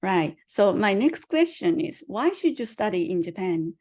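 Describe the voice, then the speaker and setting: A woman's voice: mid-range voice, sing-song, unhurried, a little mellow. A woman presenting to an online audience on a video call, hesitant with many fillers, often asking the audience questions.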